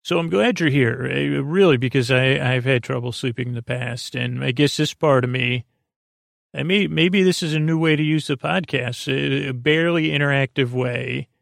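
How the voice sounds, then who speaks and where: A man hosting a sleep podcast in a creaky voice, meandering and halting through a silly improvised bedtime ramble. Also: medium pitch, fairly bright tone, no room sound, clean studio sound.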